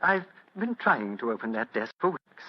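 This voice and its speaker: An actor playing a coldly polite English butler who turns smug, murderous thief, in a radio mystery. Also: male voice, mid-range voice, very animated, normal speed. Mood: smug, polite menace. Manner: formal, elaborately polite menace. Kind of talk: 1940s radio mystery acting. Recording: dull, muffled old radio recording.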